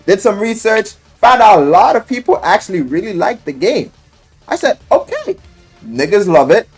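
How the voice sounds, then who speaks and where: A man's voice: sits high, very animated, normal speed, husky. A man giving casual, loosely scripted video commentary, rambling and emphatic, with slangy, profane talk. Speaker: YouTuber reviewing a visual novel. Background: music.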